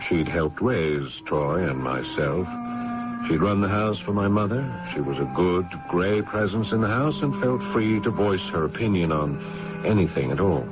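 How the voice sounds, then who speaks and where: An actor playing a dutiful, restrained elder brother who narrates his own story in a radio drama, with measured, polite speech. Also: deep voice, expressive. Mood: weary, brooding. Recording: dull lo-fi radio sound, music behind.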